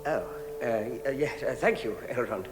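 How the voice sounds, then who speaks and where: A man's voice: mid-range voice, animated, normal speed, noisy background, close to an everyday voice. An actor playing a fussy, flustered, good-natured middle-aged hobbit in a radio drama, stammering as he goes. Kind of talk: vintage British radio drama acting.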